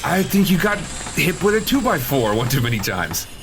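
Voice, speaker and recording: Brief: wisecracking builder, higher voice, lively. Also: male voice, moderate pace, noisy background. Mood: teasing. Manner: teasing, sarcastic. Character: wisecracking construction worker, married twice. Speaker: construction worker. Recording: music under dialogue.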